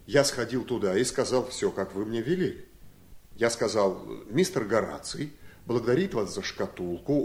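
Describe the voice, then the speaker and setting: An actor playing a simple, talkative, sly household servant telling things in an animated way in a radio play. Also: male voice, medium pitch, close to an everyday voice. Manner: chatty, animated storytelling. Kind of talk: radio play acting in Russian.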